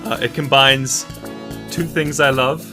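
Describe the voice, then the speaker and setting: A man's voice: mid-range voice, steady tone, a little slow. A man presenting and narrating a visual novel as a player, moving between casual commentary and scripted narration. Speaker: video game player and narrator. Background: music.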